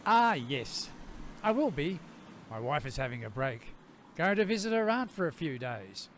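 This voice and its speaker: A man playing a meek, gentle, henpecked greengrocer in a radio play, speaking in a mild, hesitant way. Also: on the high side, big pitch swings, normal speed, a little hiss or hum. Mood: mild, pleased.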